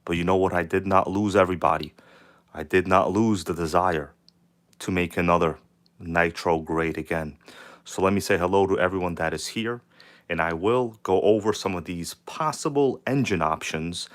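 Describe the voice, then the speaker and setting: A hoarse man with a cold hosts a live stream in a fairly low voice. He speaks, hyped and casual, calling out to viewers as he goes. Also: moderate pace. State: hoarse from a cold, nearly lost voice. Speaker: channel host. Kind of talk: live stream chat about RC cars.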